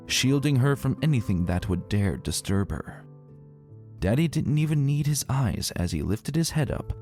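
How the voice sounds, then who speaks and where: A man giving a dramatic, theatrical reading of a scripted story as narrator. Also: lowish voice. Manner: expressive, theatrical delivery. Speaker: narrator reading fan fiction aloud. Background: music.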